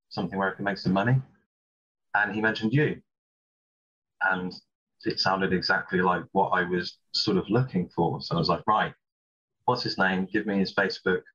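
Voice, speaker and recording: Hesitant lower-voiced man, lo-fi. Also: bright, forceful tone. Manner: hesitant, many ums and restarts. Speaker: composer guest. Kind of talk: casual interview chat. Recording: dull lo-fi sound.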